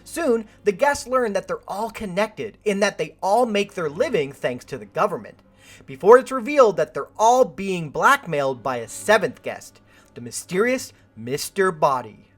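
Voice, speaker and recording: A woman's voice: medium pitch, sing-song, normal speed, soft background music. A woman hosting a film review, reading a scripted video essay with wry, joking asides.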